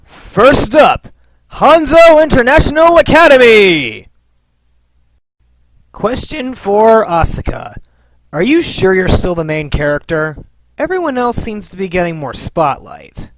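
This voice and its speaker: A man presents a question segment in a very animated voice that swings widely in pitch. He is playful and theatrical, and the recording is dry. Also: unhurried, no room sound, low-quality recording. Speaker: host of a fan Q and A.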